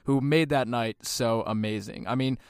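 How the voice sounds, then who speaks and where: A man with a smooth, resonant voice recorded close and dry. He hosts the interview with upbeat enthusiasm and loose, casual phrasing. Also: medium pitch, normal speed, no echo. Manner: enthusiastic, upbeat, many fillers. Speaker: podcast host.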